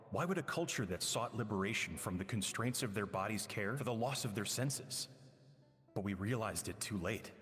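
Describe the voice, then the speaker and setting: Brief: male actor as low-voiced, remorseful man for game monologue, measured and wistful, long reverb, music underneath. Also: a bit bright, close to an everyday voice. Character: regretful man, a pastry chef grieving his estranged friend. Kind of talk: dramatic game monologue, letter narration.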